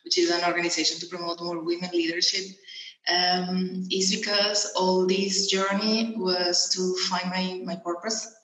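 A woman from Venezuela speaking as an interview guest, with a fairly low, flat delivery full of ums and restarts. Her voice carries an echoey room sound around it. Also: normal speed. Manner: hesitant, many ums and restarts. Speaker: guest, coach and mentor. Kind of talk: interview answers.

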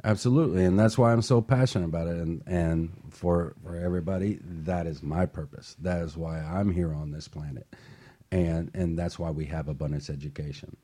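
Male talk host, low mellow voice, earnest motivational delivery. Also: steady tone, brisk. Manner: earnest, passionate, repeats words when starting.

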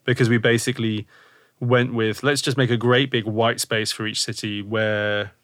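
A man's voice: lowish voice, little pitch movement, normal speed, a bit bright, no echo. A man hosting a podcast, chatting casually and thinking aloud with lots of fillers. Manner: rambling, thinks aloud with many "like" and "kind of". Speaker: podcast host.

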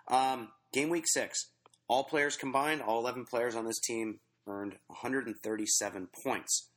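A man hosting a soccer podcast in a relaxed, chatty way, reading out fantasy stats with fillers, a bit stuffy and sniffly from a cold. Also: medium pitch, moderate pace. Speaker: podcast host.

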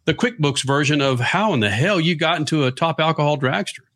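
A man hosting a talk show, loud and slangy, introducing and questioning a guest. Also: on the high side, expressive, a little fast. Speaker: radio show host. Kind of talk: boisterous talk show interview.

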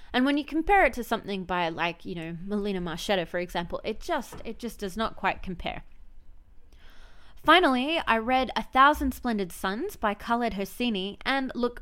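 A woman's voice: fairly high pitch, a bit bright, clean tone. A woman hosting a podcast, giving a prepared but conversational review. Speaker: podcast host. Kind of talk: prepared spoken book review.

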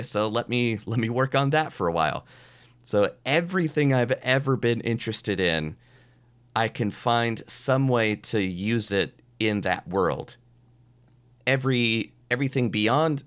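A man with a clean, smooth and fairly low voice, talking casually as a podcast guest. He tells stories conversationally, slipping into quoted remarks as he goes. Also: fairly bright tone. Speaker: podcast guest, professional mentalist.

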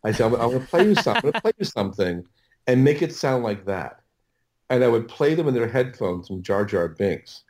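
A middle-aged man telling stories as an audio producer in a casual interview, halting and rambling as he repeats and restarts his words. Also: mid-range voice, big pitch swings, a little mellow.

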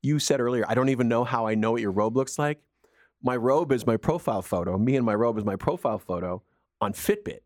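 A man's voice: medium pitch, fast, dry recording, clean studio sound. A man from Canada co-hosting a radio show, telling stories in playful casual banter. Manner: playful, storytelling. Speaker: radio co-host.

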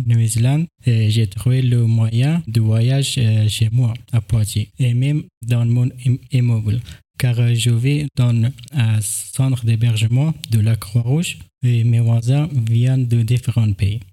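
Dark-voiced Afghan man narrating carefully. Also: medium pitch, steady tone. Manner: careful learner's French with pauses and fillers. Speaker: host presenting his neighbours. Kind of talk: narrated documentary vlog.

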